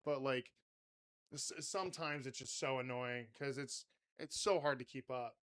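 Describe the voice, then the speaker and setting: A man hosting a podcast in casual chat, with a very loud voice and a rambling, swear-laden way of talking. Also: medium pitch, unhurried. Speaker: podcast host.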